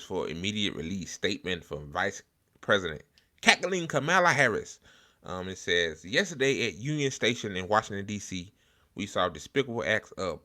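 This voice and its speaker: Male American host, low forceful voice, informal halting political commentary. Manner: informal, hesitant, halting delivery with blunt slang. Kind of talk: casual unscripted political commentary.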